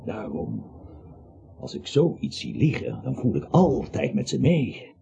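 An actor in a Dutch radio play, playing a garrulous, emphatic man with a gravelly, husky voice who swells on key words. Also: mid-range voice, wildly swooping pitch, takes it slowly, dark tone, heavily acted. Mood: excited, confiding. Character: garrulous, emphatic man who was once clinically dead. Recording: dull, muffled radio play recording.

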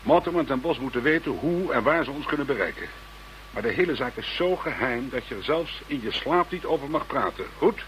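A man's voice: mid-range voice, animated, fairly quick, a bit bright, close to an everyday voice, rough recording. A male actor as a Dutch investigator in a radio play. He is informal and easygoing yet businesslike, with a mid-range voice and faint hum on the recording.

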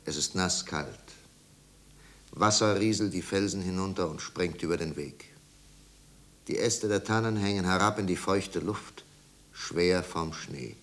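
A man narrating a 1950s German radio drama as a solemn storyteller, with grave, measured and deliberate phrasing. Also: deep voice, fairly bright tone.

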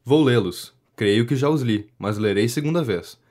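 A man plays a courteous, guarded deputy in a period comedy audio drama. He has a mid-range Brazilian voice with animated pitch movement, answering tersely and without committing himself. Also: normal speed, lightly acted. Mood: politely evasive. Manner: terse, noncommittal. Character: reserved, polite gentleman deputy, wearily evasive. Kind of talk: audio drama of a 19th-century stage comedy.

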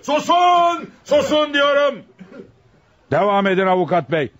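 An actor playing a stern, impatient judge who questions with authority in a radio drama, his voice husky. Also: very high-pitched, big pitch swings, a little slow, a bit bright, exaggerated voice. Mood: angry command. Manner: authoritative, interrogating. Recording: dull, roomless lo-fi radio recording.

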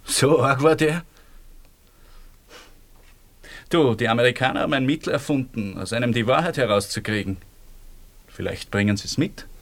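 A man's voice: medium pitch, brisk, natural voice. An actor playing a weary, wry man in love after the war in a radio play, with an Austrian accent. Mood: curious, teasing.

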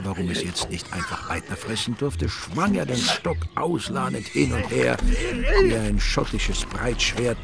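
An actor playing a wry, dryly comic storyteller with an Austrian accent, narrating a radio drama in a deadpan manner. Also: male voice, medium pitch, vocal fry.

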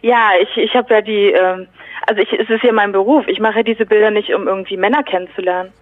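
A woman's voice: medium pitch, fairly even intonation, fast, dry recording. A woman heard over the telephone in a spontaneous conversation, speaking hesitantly but firmly. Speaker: prank call recipient, model. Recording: tinny narrowband phone line.

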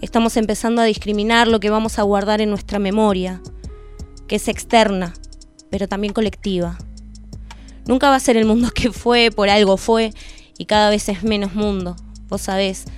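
A woman's voice: medium pitch, fairly even intonation, normal speed. A woman with an Argentine accent delivering a scripted spoken-word monologue as a radio presenter. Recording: music bed under the voice. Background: music.

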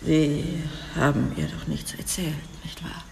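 Broken middle-aged rich wife, bitter, gravelly, halting, radio drama, long reverb. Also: female voice, sits low, a little mellow, a little hiss or hum. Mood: anxious, pleading. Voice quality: husky, creaky. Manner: slow, halting, weighty delivery with breathy drops. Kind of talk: dramatic radio play monologue. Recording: long reverberant hall sound.

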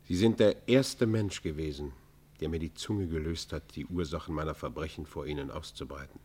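Deep-voiced, proud, remorseful outlaw. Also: male voice, a little mellow. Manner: formal, declamatory, emphatic. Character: proud, remorseful outlaw robber pleading for mercy. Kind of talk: German radio play, classical literary acting.

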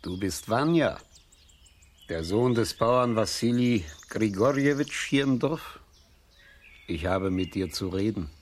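An old wandering pilgrim, blind and mysterious, in a children's radio drama. He speaks slowly and solemnly in a lowish voice, with faint birdsong behind him. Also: animated, slight background noise. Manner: solemn, measured. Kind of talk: children's radio play acting.

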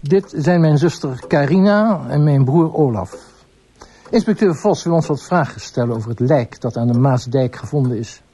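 An actor playing a guarded, formal gentleman from a well-to-do family in a radio drama, politely reserved and clipped. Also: a little high, lively intonation, normal speed, natural voice.

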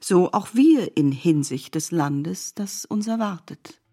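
An actress playing a grave, knowing narrator in a literary radio drama, declamatory and deliberate. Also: female voice, on the low side, animated. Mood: knowing, reassuring. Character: grave, knowing female narrator who beckons listeners into myth.

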